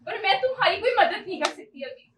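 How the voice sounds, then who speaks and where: A woman's voice: very high voice, big pitch swings, brisk, a bit bright, a little hiss or hum, heavily acted. A young woman student acting an anxious, pleading wife and mother with a secret in an amateur stage drama. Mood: desperate, pleading. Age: young adult. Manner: urgent, pleading. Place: university seminar hall stage. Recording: echoey hall, distant microphone.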